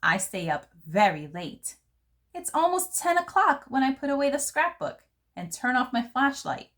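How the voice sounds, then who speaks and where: Woman reading as a lively, fancy little girl. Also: sits high, moderate pace, voice pushed up. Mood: sneaky, excited. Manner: expressive, theatrical, savoring fancy words. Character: fancy, dramatic little girl who loves glamour. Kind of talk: first-person storybook narration for children.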